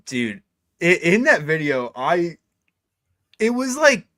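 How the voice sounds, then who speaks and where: A man's voice: a little high, big pitch swings, slow, pushed and bright, dry recording. Laid-back young man, dry sound. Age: 20s. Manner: laid-back, says "dude" a lot. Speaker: co-host. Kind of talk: casual sports chat.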